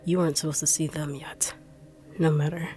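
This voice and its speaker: A young woman voicing a blunt, sharp-tongued treasure-hunting bodyguard in an audio roleplay drama, brusque and mocking. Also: low voice, little pitch movement, fairly quick, very noisy, close to an everyday voice. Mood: menacing.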